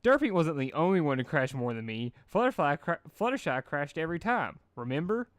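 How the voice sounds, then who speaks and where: A man voicing a brash, excitable young female cartoon pegasus, cocky and competitive. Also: on the high side, brisk, no echo, studio quality, voice pushed up. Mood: indignant.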